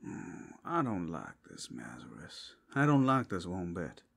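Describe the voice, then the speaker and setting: A man's voice: deep voice, wildly swooping pitch, measured pace, gravelly, a bit bright, exaggerated voice. Man playing an anxious, fretful young-hearted brothel worker. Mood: uneasy. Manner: fretful, hesitant, protesting. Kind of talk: ASMR fantasy roleplay.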